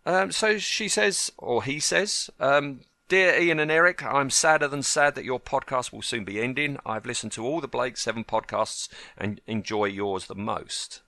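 Bright-voiced man co-hosting a podcast. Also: medium pitch, normal speed, hardly any pauses, no room sound. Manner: chatty, often asks his co-host for agreement. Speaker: podcast co-host. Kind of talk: casual podcast chat, reading a listener letter aloud.

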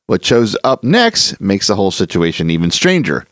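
A man's voice: fairly low pitch, big pitch swings, moderate pace, a bit bright, dry recording, studio quality. A man hosting a podcast, reading a scripted introduction. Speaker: podcast host. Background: music.

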